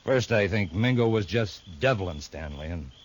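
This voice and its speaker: A man playing a deep-voiced shifty saloon henchman. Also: lively intonation, moderate pace, close to an everyday voice. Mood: confessing. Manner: defensive, blustering then confessing. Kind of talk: 1950s radio drama acting. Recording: faint hiss of an old radio recording.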